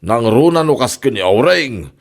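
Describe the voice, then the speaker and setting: A man's voice: medium pitch, very animated, moderate pace, vocal fry. A man with a Filipino Ilocano accent playing a nosy uncle giving advice, in a comedy radio drama. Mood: admonishing. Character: nosy, advising uncle of the lending neighbour.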